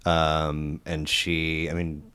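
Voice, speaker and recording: A male podcast host with a very low, smooth and resonant voice. He talks in a loose, halting way, circling back and restarting his sentences as he goes. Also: completely flat delivery, pushed and bright. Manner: halting, many restarts and fillers. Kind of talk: casual podcast interview.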